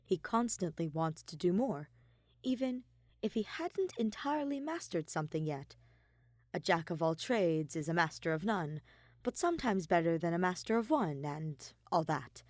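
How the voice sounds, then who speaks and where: A woman reading a piece of fiction aloud as an expressive, emphatic narrator. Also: lowish voice, normal speed, no room sound, studio quality. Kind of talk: expressive scripted story narration.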